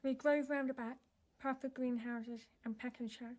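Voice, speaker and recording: A woman's voice: high voice, steady tone, moderate pace, a little mellow, natural voice. A woman acting a young, earnest and frightened deaf girl in a naturalistic radio drama, speaking hesitantly in short, plain answers. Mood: hesitant, guarded.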